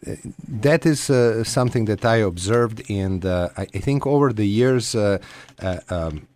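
Halting Croatian-accented man, lowish voice. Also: a little mellow. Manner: halting, hesitant delivery with frequent pauses. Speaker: guest psychiatrist and researcher. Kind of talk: radio interview answers.

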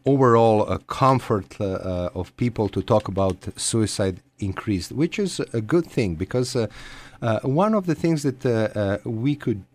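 A man with a Croatian accent answering radio interview questions as an expert guest, haltingly and with frequent pauses. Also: on the low side, lively intonation. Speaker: guest psychiatrist and researcher.

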